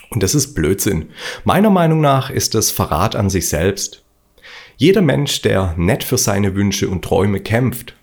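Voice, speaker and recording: A man's voice: fairly low pitch, gravelly, a little mellow, slightly rough. A man from Stuttgart with a Swabian accent, hosting his own motivational podcast, talking bluntly and colloquially in a preachy solo monologue. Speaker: podcast host.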